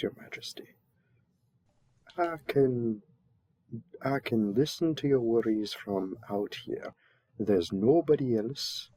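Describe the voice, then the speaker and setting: A man plays a flustered royal envoy in an audio roleplay, low in pitch and very slow to get words out. He stammers politely and defers at every turn, in a close, dry recording. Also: warm tone, dry recording.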